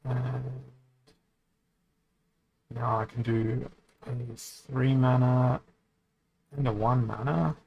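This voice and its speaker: A man streaming a card game, thinking aloud as he plays, often correcting himself and pausing to hesitate. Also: medium pitch, steady tone, vocal fry, muffled recording. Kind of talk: casual commentary while playing a card game.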